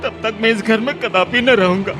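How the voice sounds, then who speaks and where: Man playing a tearful, high-voiced younger brother. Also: steady tone, lightly acted. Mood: agitated, pleading. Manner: halting, pleading, repeats words. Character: remorseful, tearful younger brother in a village family. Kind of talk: Hindi radio drama acting. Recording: music under the voice.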